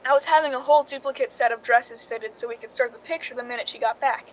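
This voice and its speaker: A woman's voice: fairly high pitch, fairly even intonation, quick pace, slight background noise, lightly acted, poor audio. A woman playing a movie star's resentful stand-in, narrating a radio drama in a wry, confiding way. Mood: dryly amused.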